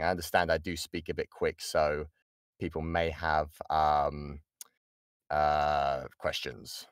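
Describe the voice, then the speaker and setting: A man's voice: very deep voice, fairly bright tone, clear, resonant tone, dry recording, studio quality. A British man, a voice actor, giving an informal online workshop in a chatty way, breaking off and repeating key points for emphasis. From United Kingdom. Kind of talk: informal online lesson with audience questions. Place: indoors, online voice chat.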